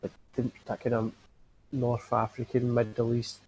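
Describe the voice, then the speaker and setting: Man, podcast host, casual chat, mellow warm tone, rambling with lots of fillers. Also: medium pitch, completely flat delivery, moderate pace, lo-fi sound, a little hiss or hum.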